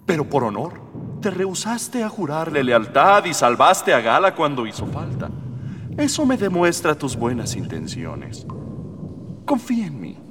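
A man's voice: on the high side, noisy background. A man playing an idealistic young rebel prince, earnest and declamatory, in a radio drama. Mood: earnest, confiding. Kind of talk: Spanish-language radio drama acting. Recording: long reverb, music behind.

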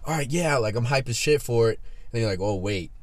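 A man's voice: mid-range voice, expressive, brisk, no room sound. A man in his twenties hosting a casual chat, easygoing and chatty, swearing now and then. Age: 20s. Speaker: podcast host.

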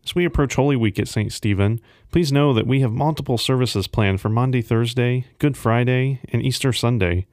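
A man's voice: medium pitch, moderate pace, hardly any pauses, a little mellow, dry recording, clean studio sound. A man serving as host of a devotional podcast, reading a scripted announcement.

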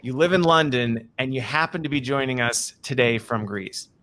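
A bright, upbeat man hosting a live video chat. He keeps things moving with a lot of okays and all rights, in a casual, friendly tone over a call mic. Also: mid-range voice, normal speed. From American Midwest. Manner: upbeat, repeats okay and all right often. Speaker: host.

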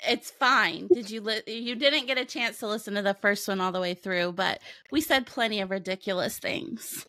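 A woman's voice: mid-range voice, moderate pace, sharp tone, no echo. A woman with a Kansas American accent co-hosting a podcast, chatting enthusiastically and laughing often. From Kansas, USA. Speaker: podcast co-host. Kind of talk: casual podcast chat.

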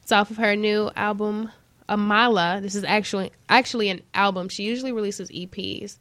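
Loud, upbeat woman radio host. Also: mid-range voice, steady tone, brisk, no echo. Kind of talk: casual talk show chat. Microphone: studio mic.

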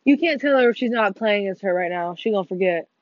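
A teenage girl co-hosting a casual gaming podcast with friends, talking fast, swearing and running herself down, with excited outbursts. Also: mid-range voice, dry recording. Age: teens. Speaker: podcast co-host. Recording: muffled dull sound, faint music behind.

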